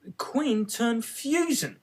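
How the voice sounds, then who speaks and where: A man reading a children's classic aloud in an expressive storybook narration style. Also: very high-pitched, takes it slowly, husky. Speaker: audiobook reader.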